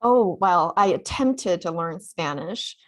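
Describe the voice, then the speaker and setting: A woman author, a native Chinese speaker born in China, telling her travel story in a relaxed interview, full of "you know" fillers. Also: on the low side, takes it slowly. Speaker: author guest.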